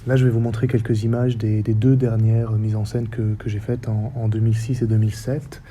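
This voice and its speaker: A man in his forties from the French Basque Country, with a faint Basque accent, giving a reflective public talk as a stage director in measured, short phrases. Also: on the low side, little pitch movement, mellow, a little hiss or hum. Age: 40s. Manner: measured, list-like delivery with short paused phrases. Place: theatre stage or hall.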